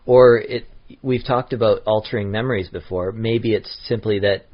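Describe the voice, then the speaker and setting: A man's voice: on the low side, steady tone, moderate pace. A man co-hosting a podcast, chatting casually and laying out his theories one by one. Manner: methodical, lays out theories one by one. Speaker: podcast co-host. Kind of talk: casual chat about tabletop games. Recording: dull lo-fi podcast audio.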